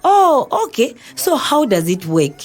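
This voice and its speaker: A woman's voice: a little high, measured pace. A woman with a Zambian accent playing a chatty, inquisitive middle-aged mother in an educational audio drama, exclaiming and asking questions. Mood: curious. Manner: animated, exclaiming, full of questions.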